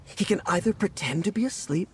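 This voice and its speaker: A man's voice: sits high, fairly quick, a little hiss or hum, lightly acted. An actor playing a flamboyant, earnest animal-act trainer in a video game, with a pompous, florid, showman's manner. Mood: showy, earnest. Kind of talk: theatrical video game dialogue. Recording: game voice under music.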